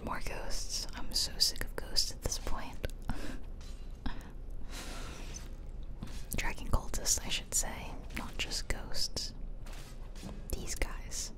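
A woman whispering playful, rambling ASMR commentary over a video game, close to the microphone. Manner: playful, self-deprecating, rambling asides. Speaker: game streamer, ASMR creator. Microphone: close ear-to-ear ASMR microphone.

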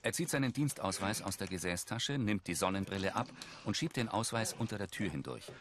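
A man's voice: on the low side, animated, fairly quick, fairly bright tone, a little hiss or hum. A man reading scripted narration as an audio describer for a television drama.

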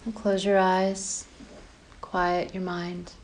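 A woman guides a meditation in a slightly low voice. She speaks very slowly and evenly, with little rise or fall. Her short, calm instructions are separated by pauses. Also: slight background noise. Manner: calm, measured, pausing between short phrases.

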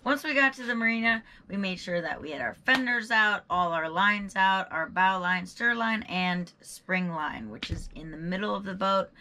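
A woman's voice: medium pitch, normal speed, hardly any pauses, pushed and bright, slight background noise. A young woman sailing vlogger casually narrating what the crew did on the boat. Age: young adult. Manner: easygoing, chimes in with short agreements. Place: boat deck and cabin.

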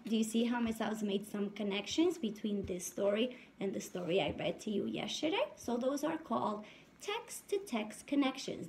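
A woman in her thirties, a first-grade teacher reading aloud to young children and asking them questions in an animated, instructive way. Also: a little high, a little hiss or hum. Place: indoors in a home room.

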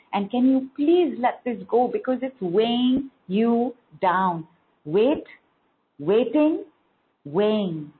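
Woman, wellness coach, motivational teaching talk, emphatic repeated phrases, thin phone-like audio. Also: medium pitch. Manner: emphatic, repeats key words in rhythmic lists. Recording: tinny phone-like sound.

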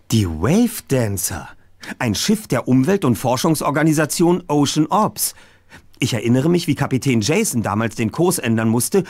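A man's voice: mid-range voice, a little mellow, dry recording. An actor voicing a clever, self-assured young male detective in an adventure game, with precise, bookish diction.

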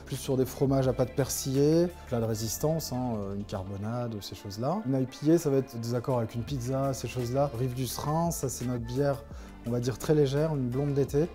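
Male, 30s, craft producer in an explanatory interview. Medium pitch, dark relaxed timbre. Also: normal speed, faint music behind. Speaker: brewery co-manager. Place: indoors in a brewery tasting room.

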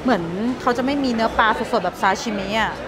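A woman's voice: on the high side, fast, background noise. A young woman, chatting casually as a food vlogger. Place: large indoor buffet restaurant. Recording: loud music and busy restaurant crowd. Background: music and crowd din.

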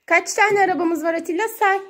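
A woman's voice: very high-pitched, a little fast, a bit bright. A woman talking to her young child as a mother at play, narrating in playful, sing-song baby talk with repeated words. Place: indoors at home beside water play tubs. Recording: noisy home phone recording with clicks.

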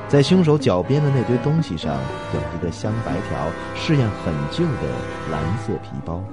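Male suspense narrator, expressive storyteller, lowish and very animated. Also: normal speed, music in the background. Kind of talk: dramatic scripted narration.

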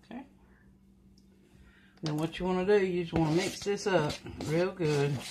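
An older woman at home giving plain, unhurried step-by-step cooking instructions in her kitchen. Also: on the low side. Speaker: home cook. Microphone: camera at the counter, off-screen speaker. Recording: noisy home recording. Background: mixer and kitchen clatter.

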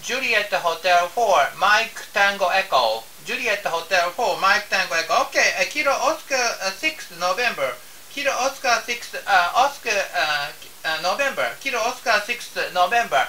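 Male amateur radio operator, Japanese accent, halting and repetitive, recorded off-mic with faint hiss. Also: high voice, expressive, sharp tone, recorded from a distance, rough recording. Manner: halting, repeats phrases and spells out call signs. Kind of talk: ham radio contact. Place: home radio room indoors.

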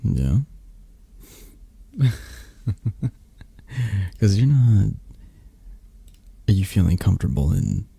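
Casting: tender, possessive mafia lover , acted by a man for ASMR roleplay; dark mellow tone, lively intonation, breathy gravelly murmur. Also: low-pitched, drawn-out words, long pauses, no room sound, heavily acted. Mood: teasing, gentle. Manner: soft, hesitant, murmuring, breathy. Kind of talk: intimate boyfriend-roleplay ASMR.

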